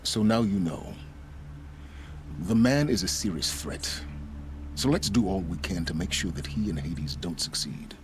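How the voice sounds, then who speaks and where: An actor playing an aloof, cunning researcher in a video game cutscene, speaking in a measured, erudite and condescending way. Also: male voice, low-pitched, expressive, slight background noise. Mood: coolly explaining. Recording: music behind the dialogue.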